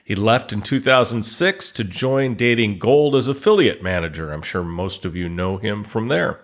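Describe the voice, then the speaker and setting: A man hosting a podcast, reading industry news from a script and introducing his guest in a steady read-aloud manner. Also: on the low side, moderate pace. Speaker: podcast host.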